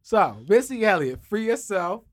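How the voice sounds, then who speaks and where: A man co-hosting a podcast in a studio, chatting casually, joking and laughing often in quick banter. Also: sits high, very animated, slight background noise. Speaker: podcast co-host. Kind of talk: casual chat.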